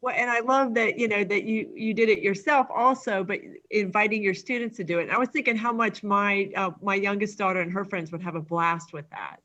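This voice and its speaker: A woman's voice: mid-range voice, fairly quick, hardly any pauses, dull audio. A woman hosting an online book launch, gushing with praise and asking the poet questions over a video call. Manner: warm, effusive, gushing praise. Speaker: event host. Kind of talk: casual chat.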